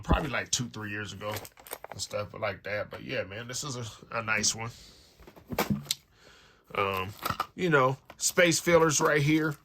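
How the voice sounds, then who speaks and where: An American man chatting casually to viewers as he hosts a collection unboxing, rambling along in slangy, conversational phrases. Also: medium pitch. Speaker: music collector and online store owner hosting an unboxing. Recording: noticeable background noise with clicks.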